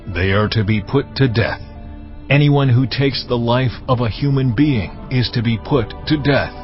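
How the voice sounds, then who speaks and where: A man playing God in a dramatized audio Bible, giving laws in a solemn, measured, commanding manner. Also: mid-range voice, close to an everyday voice. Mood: stern, grave. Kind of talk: dramatized scripture reading. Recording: dull lo-fi audio, music underneath.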